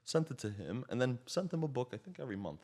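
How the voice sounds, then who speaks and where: A man's voice: mid-range voice, big pitch swings, fast, a little mellow. A man with a slight Slovak accent, a native Slovak speaker, telling stories casually in a rambling, self-interrupting way as a podcast guest. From child of Slovak parents. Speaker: podcast guest, real estate agent. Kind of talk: casual animated storytelling.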